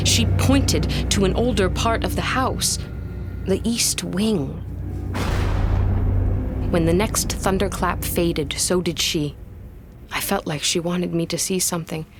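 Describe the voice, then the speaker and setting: An actress playing a young woman who recounts a frightening vision in a gothic audio drama, fearful yet curious, in a deliberate, suspenseful way. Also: on the low side. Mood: awed, unsettled. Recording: loud dramatic music under the voice.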